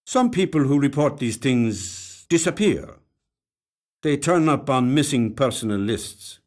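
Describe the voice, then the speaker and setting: A weary, grave male detective, acted by a story reader, speaks slowly and deliberately. His pitch sits raised and he leaves heavy pauses, as in an audio short story. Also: animated, a little mellow, close to an everyday voice. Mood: matter-of-fact, ominous.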